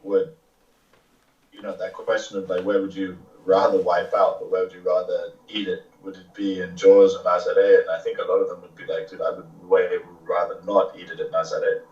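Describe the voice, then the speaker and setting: A man with a very low voice talks in a hesitant way, full of pauses and restarts, as a guest in a relaxed chat. He sounds far off and muffled. The room around him is echoey. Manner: hesitant, many fillers and restarts. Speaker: guest, surfer. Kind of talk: casual interview chat.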